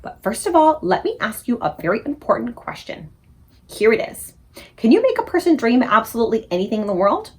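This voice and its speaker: A woman voicing a determined little orphan girl who speaks high, urgent and insistent. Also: big pitch swings, fairly quick, a little mellow, slightly rough. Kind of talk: audiobook character reading.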